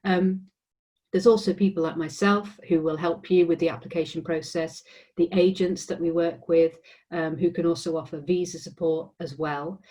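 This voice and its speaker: A woman's voice: fairly low pitch, steady tone, normal speed, mellow. A woman with an English accent, a university admissions representative giving an informational presentation with frequent ums and restarts. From England.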